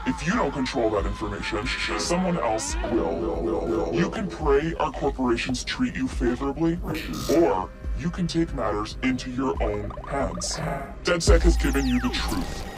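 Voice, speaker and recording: Theatrical, defiant hacker spokesman, animated delivery. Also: mid-range voice. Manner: dramatic, preachy, deliberate. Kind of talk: scripted propaganda broadcast narration. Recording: music under the voice.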